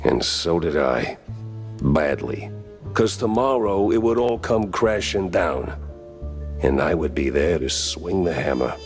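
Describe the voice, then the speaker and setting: A man's voice: deep voice, expressive, unhurried, music in the background. A man playing a world-weary, wisecracking mouse private detective in a noir video game, with wry, slangy tough-guy delivery. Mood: brooding. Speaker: detective protagonist and narrator. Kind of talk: 1930s noir detective narration.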